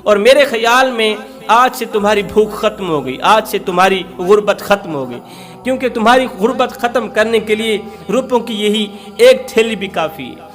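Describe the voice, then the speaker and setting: Man playing a tender dying father, high-pitched. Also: lively intonation, normal speed. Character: dying sick father, tender and compassionate to orphans.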